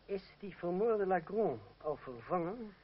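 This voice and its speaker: An actor playing a proud young fencing master, formal and ironic, in a Dutch radio play. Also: male voice, high voice, animated, unhurried. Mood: resolute. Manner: formal, ironic, self-assured. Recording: dull, noisy old radio recording.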